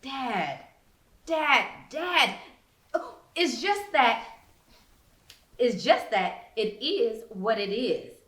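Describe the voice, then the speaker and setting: A woman's voice: fairly high pitch, sing-song, drawn-out words, pauses often, fairly bright tone, exaggerated voice. A woman voicing an embarrassed thirteen-year-old girl, defensive and faltering as she bluffs, in a story reading. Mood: flustered. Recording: roomy indoor echo.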